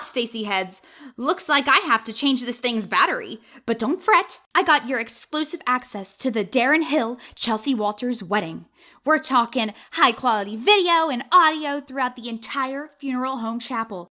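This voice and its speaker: A woman plays a perky young female vlogger in an audio drama. Her voice is fairly high and sharply bright. She puts on showy patter with a sardonic streak. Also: lively intonation, moderate pace.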